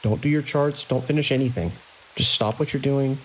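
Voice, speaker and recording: An actor playing a grim, commanding security chief in a horror audio drama, giving terse, urgent orders. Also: male voice, mid-range voice, brisk, natural voice, rough recording. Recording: constant hiss and rain-like noise.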